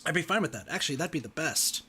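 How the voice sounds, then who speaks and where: A man's voice: on the high side, expressive, quick pace, sharp tone, breathy edge, heavily acted. A man reading visual novel narration aloud as an ordinary, earnest teenage schoolboy thinking to himself. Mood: weary, resigned.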